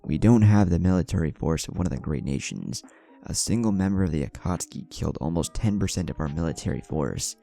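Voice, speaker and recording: Man reading as formal village chief, very low gravelly voice, earnest, explanatory, fan fiction storytelling. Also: animated, a little fast, mellow, faint music behind. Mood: earnest explaining. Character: apologetic, reasoning male ninja village leader.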